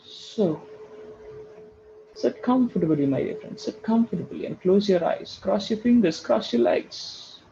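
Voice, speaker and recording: A man with a high-pitched yet very soft, mellow voice that turns creaky, guiding a meditation. He gives slow, soothing instructions and repeats short phrases, on a dull recording. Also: a little hiss or hum. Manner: repeats phrases for emphasis, addresses listeners as friends. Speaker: guided meditation teacher.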